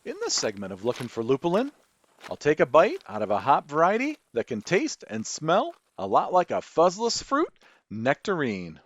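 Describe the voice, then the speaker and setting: A man's voice: fairly high pitch, no room sound, highs cut off. A man with a Midwestern American accent reads scripted narration as the host of a podcast, enunciating carefully with folksy turns of phrase.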